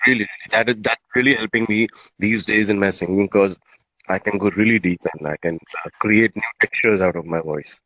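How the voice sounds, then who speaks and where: A man in his forties from India, a singer and interview guest, speaking slowly and deliberately. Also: fairly low pitch, bright, forceful tone, no echo, low-quality recording. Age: 40s. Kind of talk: casual interview chat.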